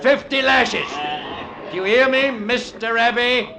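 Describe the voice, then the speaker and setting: Brutal, sneering British ship's mate. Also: male voice, extremely high pitch, fairly even intonation, a little slow, a crowd in the background. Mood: furious, threatening. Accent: British working-class. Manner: menacing, sneering, blunt. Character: coarse, brutal, crafty first mate of a convict ship. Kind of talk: old-time radio acting. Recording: noisy vintage radio drama recording.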